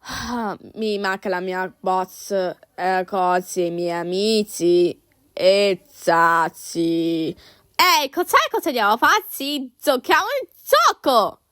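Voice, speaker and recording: A woman voicing excitable, friendly little cartoon animals in an amateur dub, with a childlike, halting, exclamatory delivery. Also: medium pitch, clean tone, no echo, studio quality. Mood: sad, then playful, puzzled. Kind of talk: amateur cartoon dub, playing every character.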